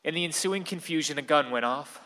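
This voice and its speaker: A man's voice: fairly high pitch, brisk, pushed and bright. An actor in a radio play playing a blunt male news reporter delivering grim bulletins. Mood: blunt, bitter. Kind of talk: radio play narration as newscast. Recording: long hall reverb.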